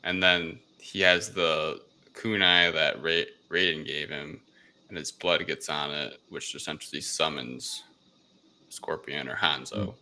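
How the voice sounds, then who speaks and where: A man's voice: very deep voice, fairly even intonation, unhurried, pushed and bright. A man co-hosting a review show, chatting through a recap in loose, informal phrases. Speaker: podcast co-host reviewing films. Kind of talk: casual chat recap. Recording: faint background noise.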